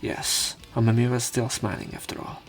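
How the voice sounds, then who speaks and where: A man's voice: low-pitched, lively intonation, normal speed, gravelly, soft background music. A man reading game narration aloud as a let's player, breaking into irreverent, casual commentary. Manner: irreverent, crude asides.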